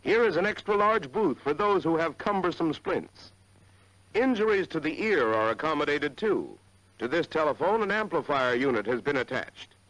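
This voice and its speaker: Lively 1940s American film narrator, male. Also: on the high side, normal speed, dry recording, rough recording. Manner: earnest, polished, formal. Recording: vintage 1940s film soundtrack.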